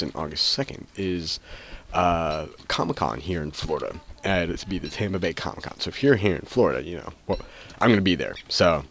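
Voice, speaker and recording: Congested, nasal deep-voiced man. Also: slight background noise. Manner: rambling, full of ums and restarts. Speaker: gaming channel host. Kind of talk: casual gameplay commentary.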